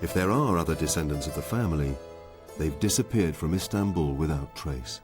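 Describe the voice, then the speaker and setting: A man narrating a documentary in a measured, even scripted delivery. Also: very deep voice, over music.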